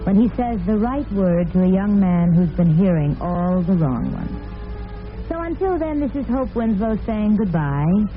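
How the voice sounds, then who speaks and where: A woman's voice: medium pitch, very animated, measured pace, soft background music. A woman playing the storyteller hostess of a romantic old-time radio serial, narrating from a script. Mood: consoling, storytelling. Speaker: narrator and host. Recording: narrow old radio recording.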